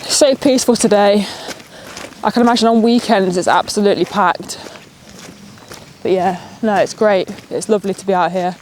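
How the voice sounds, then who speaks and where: A young woman with a Nottingham accent, sniffly and a bit nasal, chatting excitedly as a travel vlogger while out walking. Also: mid-range voice, normal speed, a little hiss or hum. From Nottingham, England. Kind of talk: chatty walk-and-talk vlog. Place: outdoors on a countryside walk, rain.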